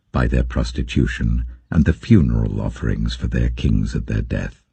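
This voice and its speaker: A man plays a solemn, stern God in an audiobook of scripture. The voice is cavernously low, dark and gravelly, speaking slowly with grave authority. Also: full character voice. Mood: solemn, commanding.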